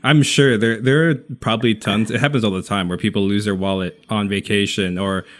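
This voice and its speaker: A man's voice: fairly low pitch, fairly even intonation, a little fast. A man chatting casually as a podcast producer and sidekick. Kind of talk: casual chat.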